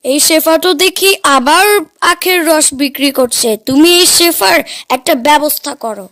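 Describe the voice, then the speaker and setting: A woman's voice: sits high, moderate pace, fairly bright tone, dry recording. A woman voicing a scheming, grumbling husband in an animal cartoon for children. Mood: scheming, annoyed. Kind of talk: animated children's story dialogue.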